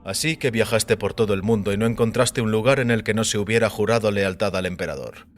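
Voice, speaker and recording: An actor playing a calm, enigmatic male visitor who speaks with measured, solemn authority in an audio drama. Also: fairly low pitch, lightly acted. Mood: insistent, probing. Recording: music bed under the voice.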